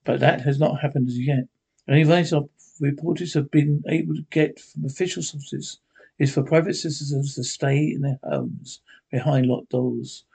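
A man reading an emergency radio news bulletin in a measured, formal way. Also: on the high side, fairly even intonation, vocal fry, darkish tone, husky.

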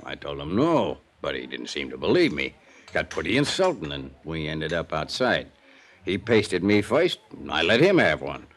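An actor playing a defensive, streetwise hotel bartender in a 1950s radio drama, talking in a tough, clipped way. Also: male voice, extremely low pitch, big pitch swings, normal speed, fairly bright tone, full character voice. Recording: old radio broadcast with music.

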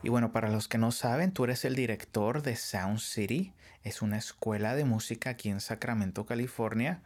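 A man hosting a podcast, welcoming his guest enthusiastically and asking friendly questions in a casual interview. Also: medium pitch. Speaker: podcast host.

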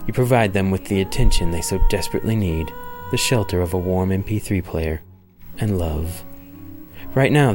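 Man, low pitch, solemn deadpan pleading, mock-earnest charity promo, music underneath. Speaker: podcast promo announcer.

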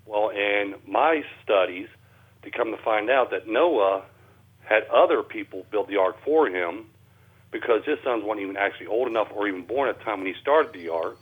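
An American man answering as an interview guest, plain-spoken and halting, over a narrow telephone line. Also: fairly low pitch, breathy edge. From Cincinnati, Ohio, USA. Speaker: interview guest, amateur researcher.

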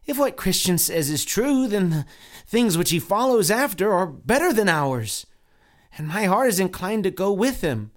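A man voicing a gentle, easily swayed male neighbor who asks eager questions. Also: high voice, sing-song, normal speed, voice pushed up. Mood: mild.

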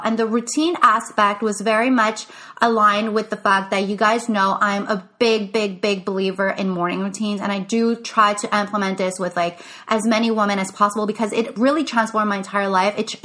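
A woman's voice: medium pitch, sharp tone. A young woman from Quebec, a business coach, chatting casually on her podcast with many "like" fillers and restarts. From Quebec, Canada. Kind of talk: casual solo podcast talk.

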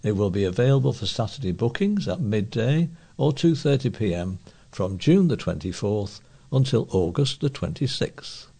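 A man's voice: fairly low pitch, expressive, moderate pace, creaky, warm tone, breathy edge. A man, reading scripted local news as a volunteer newsreader. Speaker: volunteer newsreader for a talking newspaper.

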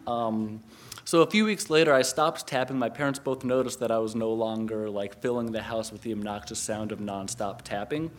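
A man from Connecticut telling a personal story live on stage, animated and laughing often, with many filler words. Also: on the low side, moderate pace, slight background noise. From Connecticut, USA. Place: live stage before an audience.

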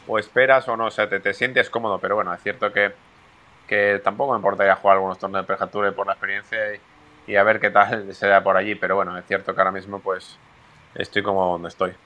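A man from Spain speaks low and fairly flat, pausing often with fillers as he answers interview questions. His tone is bright and forward. He sounds like a golfer talking about his career. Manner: hesitant, many fillers and restarts. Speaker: professional golfer guest.

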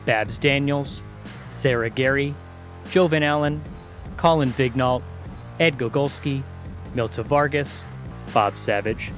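A man reading the closing credits for a podcast in a steady announcing manner. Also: mid-range voice. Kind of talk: scripted credits read.

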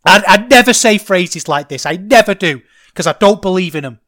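A middle-aged man with a Manchester accent, hosting a casual solo podcast, rambling and getting loud when excited. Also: high-pitched, fairly quick. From England. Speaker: podcast host.

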